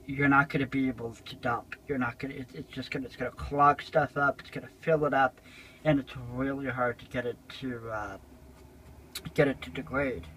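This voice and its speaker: A man's voice: mid-range voice, a little hiss or hum, rough recording. A man giving a casual, unscripted vlog tour, explaining things in a rambling, repetitive way on amateur handheld camera audio. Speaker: vlogger showing his motorhome. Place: small motorhome bathroom, indoors. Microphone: handheld camera or phone, held by speaker.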